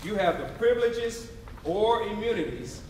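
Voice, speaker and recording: A man's voice: high-pitched, animated, moderate pace, a crowd in the background. A man delivering an emphatic, preacher-like readers theatre oration on stage. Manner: emphatic, declamatory, preacher-like call and response. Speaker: stage narrator. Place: theatre stage before a responding audience. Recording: very echoey live hall, distant mic.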